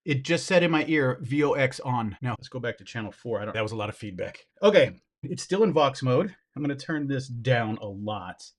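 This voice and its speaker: A man with a mid-pitched voice presents a hands-on product review. He talks informally and directly to viewers while demonstrating the gear, in the relaxed phrasing of a casual vlog. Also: fairly quick.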